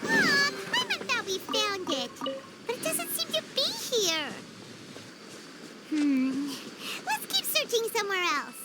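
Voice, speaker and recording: A woman voicing a small, childlike floating companion girl in a video game, excitable and easily scared, with a squeaky voice, exclaiming and speaking of herself in the third person. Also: slow pace, over loud music, heavily acted. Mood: searching.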